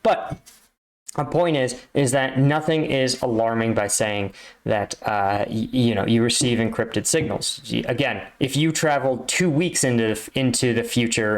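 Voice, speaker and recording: Medium-pitched man giving informal commentary. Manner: didactic, seeks agreement with rhetorical checks. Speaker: military veteran reacting to game cutscenes.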